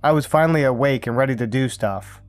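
A man reading a first-person scary camping story aloud in a calm, even storytelling manner. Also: mid-range voice, fast talker, no room sound, faint music behind. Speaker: narrator. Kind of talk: scripted horror story narration.

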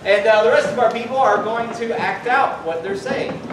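A man's voice: extremely high pitch, moderate pace, noisy background. A man in his thirties hosting an improv comedy show, casually explaining a game to the audience. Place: indoor theatre stage. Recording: echoey stage hall, distant mic, audience.

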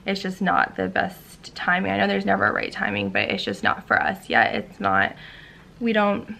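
A young American woman in her mid-twenties chats casually to a camera indoors. Her voice sits at a middling pitch and is heavy with vocal fry. She sounds informal and a little hesitant, with faint noise in the room behind her. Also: sing-song, moderate pace, pushed and bright. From Hawaii, United States. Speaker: vlogger.

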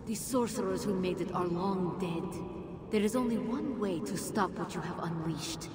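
An actress playing a stern, regal female spirit guide in a video game, speaking in a measured, grave, authoritative manner. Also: fairly low pitch, a loud crowd, close to an everyday voice. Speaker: voice actor in a game cutscene. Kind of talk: dramatic video game acting. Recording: loud music behind.

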